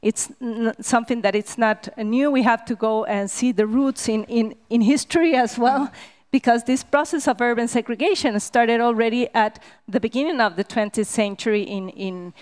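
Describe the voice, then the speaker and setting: A woman speaks on a stage panel through a headset mic. Her voice sits a little high and comes across smooth and clear. She pauses often, filling gaps with "uh" as she works through her thoughts. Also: a little mellow. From Costa Rica. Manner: hesitant, many "uh" fillers, gestures while speaking. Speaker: panel guest, researcher. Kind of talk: panel discussion answer. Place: stage at a public talk event, indoors.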